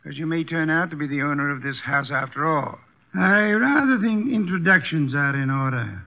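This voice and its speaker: An actor playing an imperious, testy armchair detective in a 1950s American radio drama, speaking formally and pompously with precise diction. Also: male voice, fairly high pitch, expressive, moderate pace, vocal fry. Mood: commanding. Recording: dull lo-fi vintage radio recording.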